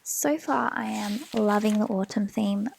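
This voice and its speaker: Australian woman, soft half-whisper, slow unhurried delivery, gravelly fry, faint background hiss. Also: medium pitch. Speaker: planner vlogger. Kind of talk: soft-spoken planner flip-through. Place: home desk.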